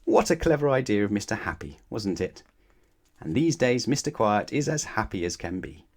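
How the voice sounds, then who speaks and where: A man's voice: fairly low pitch, very animated, normal speed, a little mellow. A man narrating a children's bedtime story expressively, putting questions to his young listeners. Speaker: storybook reader.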